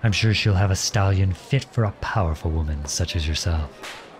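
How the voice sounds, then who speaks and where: A man playing a charming, gentle, teasing outlawed prince in an ASMR roleplay, courtly, playful and tender in his way of talking. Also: low-pitched, normal speed, music in the background, lightly acted. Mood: warm, attentive. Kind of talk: ASMR roleplay acting addressed to the listener.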